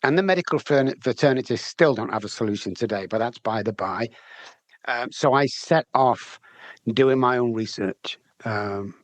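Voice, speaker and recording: A man's voice: lowish voice, dry recording. A middle-aged man from England with a Manchester accent, telling his story candidly in an interview, chatty and often repeating words as he restarts. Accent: Manchester English. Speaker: guest, addiction recovery specialist.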